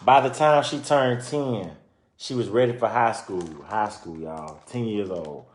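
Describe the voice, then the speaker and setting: Low-voiced man reading aloud in echoey room. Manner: pauses to explain and comment to listeners. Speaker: reader presenting a children's book. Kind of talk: read-aloud of a children's book with informal commentary. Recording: roomy, echoing sound.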